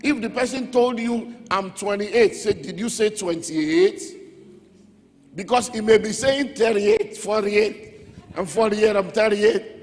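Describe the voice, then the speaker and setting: A man with a Nigerian accent preaching as a pastor, emphatic, repeating key phrases and checking in with his listeners. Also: high-pitched. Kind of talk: sermon teaching on relationships. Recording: echoey hall with music underneath.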